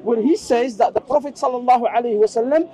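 A man whose first language is Arabic talks in a very high, mellow voice. He presses his opponent with insistent, probing questions in a street debate. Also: quick pace. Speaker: Islamic debater. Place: outdoor park.

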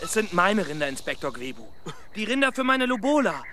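A man's voice: high voice, big pitch swings, a little fast, a loud crowd. An actor playing an emphatic, indignant young village policeman in a children's radio play. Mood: defensive. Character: accused young village policeman, proud, flustered, protesting innocence. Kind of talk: children's radio drama acting.

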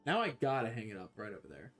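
A man's voice: on the low side, huge pitch swings, fast talker, soft background music. A young man streaming a game from his bedroom on a headset, chatting loosely with viewers, joking and swearing. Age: young adult. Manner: loose, joking, swears a lot, talks to chat. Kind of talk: casual gaming stream chat. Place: small bedroom.

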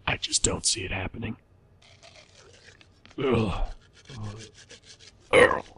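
A man's voice: lowish voice, takes it slowly, a bit bright, husky, heavily acted. An actor playing a weary, bewildered father who fears for his life, in radio play dialogue. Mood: weary, resigned.

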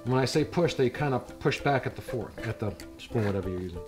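A middle-aged man talks casually through a cooking demonstration in a home kitchen. He drops chatty, wisecracking asides as he goes. Guitar music plays clearly behind his voice. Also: medium pitch, expressive, brisk. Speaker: home cooking show host.

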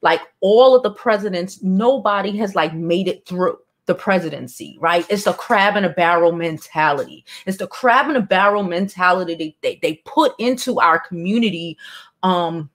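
A woman's voice: lowish voice, lively intonation, normal speed. A woman, casually and bluntly commenting on news and reading it aloud from a home studio, with sighs and profane asides. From Brooklyn, New York. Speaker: celebrity news vlogger. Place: home studio with neon sign, indoors.

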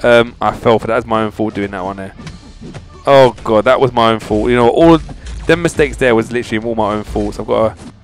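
A man's voice: fairly low pitch, brisk. A man hosting a casual video game walkthrough, talking informally and straight to his viewers, often repeating his phrases. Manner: informal, chatty, addressing viewers directly. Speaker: gaming channel host. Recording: game music behind voice. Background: video game music.

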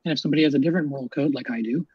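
A man debating over a video call, fast-talking and probing, on dull, lo-fi call audio. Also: a little high, breathy edge, no echo.

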